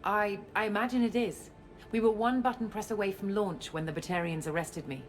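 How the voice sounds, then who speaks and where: A woman playing a guarded, self-assured scientist and space operative in a video game, explaining things in a measured way. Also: medium pitch, fairly even intonation, lightly acted. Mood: composed, explaining. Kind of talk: video game cutscene acting. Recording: music under the dialogue.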